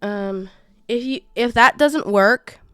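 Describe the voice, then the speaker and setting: A woman in her twenties with a congested cold voice, walking viewers through a software tutorial in a chatty, rambling way. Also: fairly high pitch, slow pace, smooth, no room sound, studio quality. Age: 20s. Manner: chatty, rambling, self-correcting. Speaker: gaming YouTuber. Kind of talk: casual screen-recorded tutorial.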